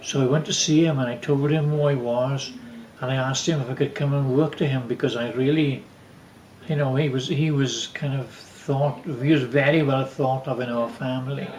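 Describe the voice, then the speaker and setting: Older male, mid-pitched, unhurried family raconteur with halting, rambling delivery; echoey room sound. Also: steady tone, a little mellow, slight background noise. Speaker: father telling family stories. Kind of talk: casual reminiscing.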